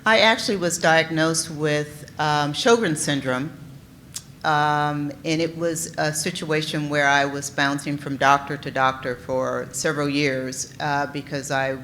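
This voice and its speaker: A woman's voice: sits low, fairly even intonation, measured pace, fairly bright tone, a little hiss or hum. A woman in her sixties speaking as a patient on a panel, plain and matter-of-fact. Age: 60s.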